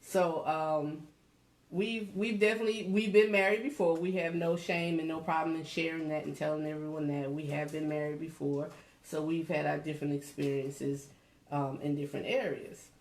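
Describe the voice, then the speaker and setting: A woman's voice: low voice, fairly even intonation, normal speed, a little mellow. Forties woman, chatty co-host who laughs easily, echoey room. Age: 40s. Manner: chatty, laughs often, greets viewers. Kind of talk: casual livestream talk.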